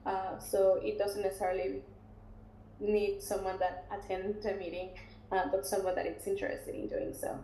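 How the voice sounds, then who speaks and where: Mellow-voiced woman hosting a webinar. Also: medium pitch, moderate pace. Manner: relaxed, chatty, many fillers and restarts. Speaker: international recruitment officer. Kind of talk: webinar presentation and Q&A. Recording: roomy webinar audio, faint noise.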